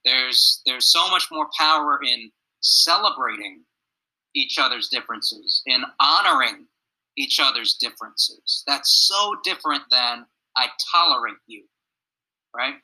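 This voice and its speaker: A man's voice: mid-range voice, lively intonation, measured pace, pushed and bright, poor audio. A young man, a middle school teacher, talking earnestly with his students on a video call and telling a personal story. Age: young adult. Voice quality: gruff. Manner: earnest, reflective, asks students questions.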